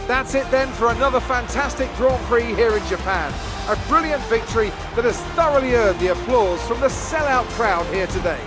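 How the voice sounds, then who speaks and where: An actor playing an enthusiastic TV motor racing commentator, delivering polished broadcast commentary in a game. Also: male voice, extremely high pitch, lively intonation, brisk. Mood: excited, polished. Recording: loud music over the voice.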